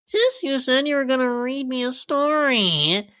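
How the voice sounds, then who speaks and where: A woman's voice: sits high, lively intonation, a little slow, fairly bright tone, no echo, clean studio sound, voice pushed up. A woman voicing an eager, innocent little filly, a younger sister pony from a cartoon, in a childlike, chirpy manner for a fan reading. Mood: eager, pleading. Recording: music behind the voice.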